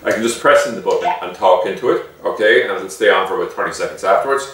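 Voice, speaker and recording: A man's voice: deep voice, quick pace, fairly bright tone. A man giving a casual, chatty product demonstration, explaining step by step like a shopkeeper and often checking in with the listener. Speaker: shop owner presenting a product. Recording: echoey room, distant microphone.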